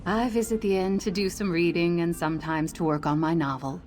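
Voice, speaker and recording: A woman's voice: lowish voice, fairly even intonation, fairly quick, a little mellow, faint music behind, close to an everyday voice. A woman playing a bookish inn patron and aspiring writer in a fantasy game, speaking in a composed way. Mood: content, composed.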